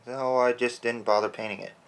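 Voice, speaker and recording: A man quietly rambling as he paints a toy, his throat a little rough from early-morning allergies. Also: medium pitch, steady tone, fairly quick. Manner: soft-spoken, rambling with fillers. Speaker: toy customizer. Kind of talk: casual hobby narration while painting.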